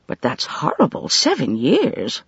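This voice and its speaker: A man's voice: a little high, huge pitch swings, measured pace, creaky, slightly rough, no room sound, highs cut off, full character voice. A man playing an inquisitive, kindly woman visitor in an audiobook, questioning frankly. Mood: curious, sympathetic. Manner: questioning, frank, easily startled.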